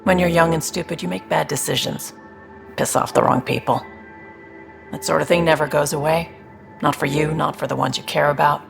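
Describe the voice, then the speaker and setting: A man's voice: a little high, steady tone, moderate pace, creaky, a bit bright, soft background music. An actor playing a guarded, world-weary man in a video game, plain-spoken and wry. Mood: regretful. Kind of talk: naturalistic video game acting.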